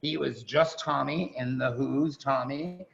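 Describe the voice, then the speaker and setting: Gravelly-voiced man hosting a theatre evening. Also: mid-range voice, a little slow. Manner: effusive, chatty. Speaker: host of a staged reading event. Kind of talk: informal stage introductions. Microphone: video call. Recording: dull, muffled video-call audio.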